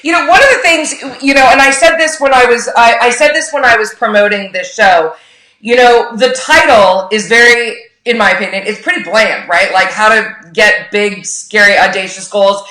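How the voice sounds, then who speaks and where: A woman's voice: on the high side, normal speed, pushed and bright, echoey room, low-quality recording. A woman hosting a live show in an enthusiastic, informal and emphatic way, gushing as she talks. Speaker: host.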